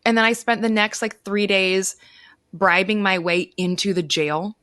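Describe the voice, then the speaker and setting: A woman's voice: mid-range voice, steady tone, unhurried, fairly bright tone, no room sound, clean studio sound. A woman speaking as a podcast guest, telling stories casually and emphatically and acting out what people said. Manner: emphatic, informal, acts out quoted speech. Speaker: podcast guest, travel writer. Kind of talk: animated casual storytelling.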